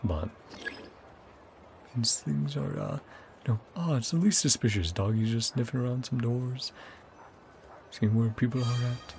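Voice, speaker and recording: A man's voice: medium pitch, measured pace, vocal fry, long pauses, a little mellow, slight background noise. A man giving casual let's play commentary, thinking aloud and reading game text out, often with a chuckle. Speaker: game streamer. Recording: game music behind voice. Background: video game music.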